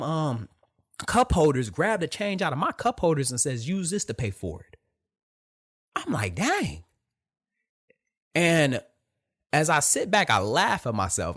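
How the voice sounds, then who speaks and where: A young man from Detroit with a soft voice, hosting a casual podcast monologue, repeating phrases and swearing as he tells a story. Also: on the high side. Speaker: podcast host.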